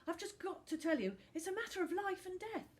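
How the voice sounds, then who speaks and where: A hoarse, frightened young boy begs for help in a pitched-up, pleading voice, as a woman puts him on. He sounds croaky and urgent, his voice climbing high. It is a storybook read aloud. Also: animated, fairly quick. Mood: desperate pleading.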